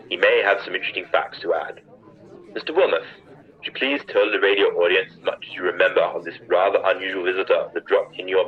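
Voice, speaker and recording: Deep husky actor as male radio reporter, old-time live commentary, urgent n awed, dull lo-fi sound. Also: natural voice. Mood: brisk, impatient. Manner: descriptive, briskly steering, searching for words.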